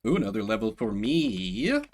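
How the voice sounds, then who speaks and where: A man's voice: fairly low pitch, very animated, moderate pace. A man giving playful, theatrical commentary as he streams a game, reading its text aloud.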